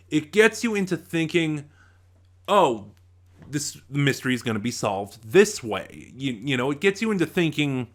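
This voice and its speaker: A man reviewing in a casual, unscripted way as host, halting at times, trailing off and circling back mid-thought. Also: fairly high pitch, a bit bright.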